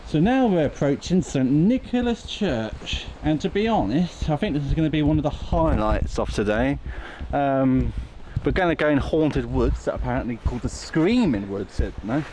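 A man's voice: a little high, lively intonation, normal speed, dark tone, low-quality recording. A man hosting a casual walking vlog outdoors in a dry, tongue-in-cheek way. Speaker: vlog host. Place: outdoors on village roads. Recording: outdoor street noise, passing vehicles.

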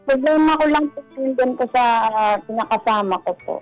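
A Filipino woman calling in for advice, telling her problem in a polite, deferential and halting way. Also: sits high, faint music behind. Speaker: caller seeking advice. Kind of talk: hesitant personal account in conversation.

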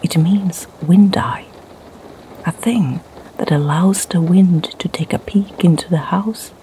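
A middle-aged woman from Sweden with a Swedish accent, whispering ASMR stories softly and slowly in her home library. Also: on the low side, a little hiss or hum, low-quality recording. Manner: soft, slow whisper. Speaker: ASMR creator and storyteller.

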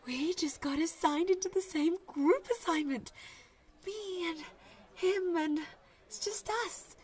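Casting: actress as chatty, lovesick college girl for audio roleplay; high pitch, swoony, dramatic delivery. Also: slow pace, a little mellow, a little hiss or hum. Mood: excited, giddy. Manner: gushing, dramatic, babbly, sighing. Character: lovestruck, chatty young female student gushing about a crush. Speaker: voice actor. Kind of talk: audio roleplay, one-sided conversation with friends.

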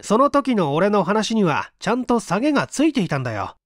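Man voicing a needling, higher-pitched insistent friend. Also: big pitch swings, fairly quick, dry recording, studio quality. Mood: challenging. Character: needling, insistent male friend, coolly mean. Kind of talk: Japanese audiobook dramatic reading.

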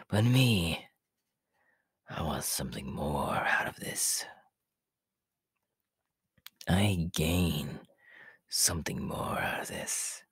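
A man voicing a sinister, power-hungry immortal body-stealer in an ASMR roleplay, sly and menacingly polite, with a raspy, half-whispered hiss. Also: very deep voice, a bit bright, no room sound, studio quality, full character voice. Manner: slow, drawn-out, menacingly polite. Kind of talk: ASMR character roleplay monologue.

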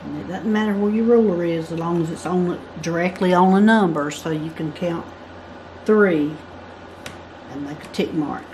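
Low-voiced woman explaining a drawing step by step. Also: moderate pace, a little mellow, a little hiss or hum, poor audio. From United States. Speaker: craft instructor. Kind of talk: drawing tutorial. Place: indoors at a work table.

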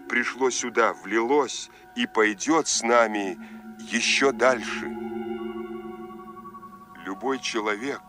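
A grave man speaking from the future in a Soviet radio drama. His voice is low and very unhurried, with solemn, declamatory delivery over clear music. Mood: solemn, exalted.

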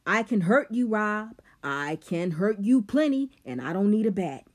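A woman's voice: on the low side, sing-song, a little slow, dark tone. A woman voicing a clever, scheming teenage boy who talks back coldly. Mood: defiant.

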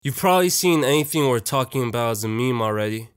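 A man's voice: mid-range voice, expressive, quick pace, clean tone, dry recording, clean studio sound. A young man narrating a casual, opinionated video essay in a slangy, profane and irreverent manner. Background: music.

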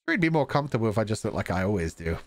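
A man streaming a game, reading its dialogue aloud with jokey ad-libs in a playful, animated manner. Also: lowish voice, racing, no room sound. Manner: animated, stammering for effect, jokey. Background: visual novel game music.

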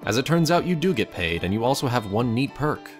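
A man's voice: medium pitch, big pitch swings, brisk, soft background music. A man reading a scripted second-person story aloud as its narrator.

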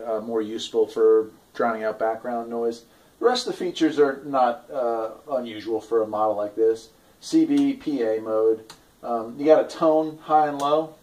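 A man in his forties talking casually and haltingly in an off-the-cuff video review, recorded in a garage on a camera at arm's length. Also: medium pitch, darkish tone. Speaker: product reviewer, farm owner. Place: garage, indoors.

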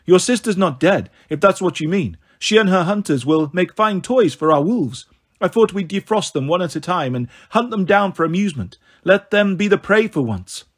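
A man voicing a beautiful, cruel snow goddess in an audiobook, quiet, gentle and cold, purring with smug condescension. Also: high voice, lively intonation, normal speed. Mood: gloating. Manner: silky, taunting, condescending.

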